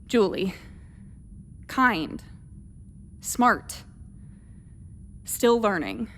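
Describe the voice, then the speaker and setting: Starship captain, female, radio play. Reflective and caring, unhurried, mid-pitched, music underneath. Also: very animated, a bit bright, a little hiss or hum, heavily acted. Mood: pensive, searching. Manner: halting, deliberate inner monologue and firm orders. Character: brooding woman starship captain, reflective, protective of crew.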